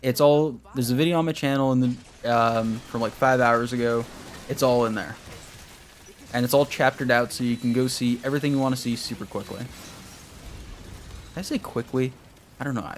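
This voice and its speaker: A man's voice: mid-range voice, a little hiss or hum. A young man streaming a game, chatting casually with his viewers in a rambling way while he plays. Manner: rambling, talks to chat while playing.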